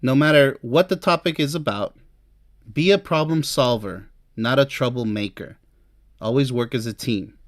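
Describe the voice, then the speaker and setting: A man's voice: mid-range voice, normal speed, no room sound, clean studio sound. Emphatic, chatty man giving advice. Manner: chatty and emphatic, addresses listener directly. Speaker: relationship advice host. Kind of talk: informal advice talk to camera, ranking topics.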